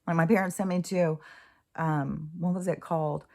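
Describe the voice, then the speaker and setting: A woman's voice: sits low, steady tone, normal speed, darkish tone. A woman in her sixties talking casually and candidly in a rambling spiritual monologue, swearing freely. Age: 60s. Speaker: host.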